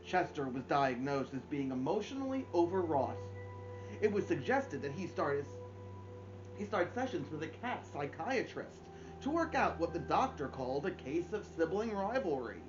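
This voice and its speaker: A man in his thirties hosting story time, reading a children's book aloud with theatrical emphasis and expressive pauses. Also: a little high, highs cut off, over music. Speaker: story-time host and reader. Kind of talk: animated children's audiobook-style read-aloud. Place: small furnished room at home, indoors.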